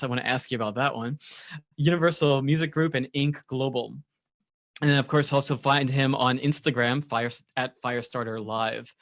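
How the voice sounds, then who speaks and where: A man's voice: medium pitch, fairly bright tone. A man hosting a podcast, introducing his guest and asking questions in an upbeat, encouraging way. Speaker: podcast host. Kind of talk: casual interview hosting.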